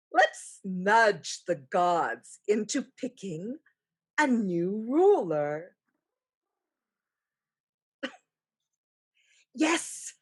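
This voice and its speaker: A woman playing an ageless, regal sorceress, sly and ambitious, in a slow, portentous staged play reading. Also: medium pitch, slightly rough, full character voice.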